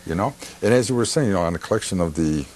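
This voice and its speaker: An older man with an American accent hosting a talk show in a casual, folksy, slightly halting way. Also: sits low, expressive, a little mellow. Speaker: television talk show host. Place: television studio.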